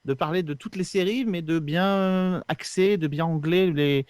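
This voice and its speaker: A man's voice: fairly high pitch, unhurried, a little mellow, clear, resonant tone, no room sound. A man talking casually and at length as an interview guest, explaining things as a podcast co-host. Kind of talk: casual conversational interview answers.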